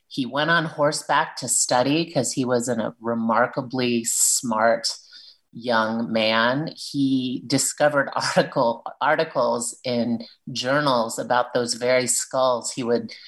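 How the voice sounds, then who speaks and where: A woman's voice: extremely low pitch, steady tone, slow, low-quality recording. A woman author talking earnestly about her children's book and reading from it aloud. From Peruvian family, living in Arizona.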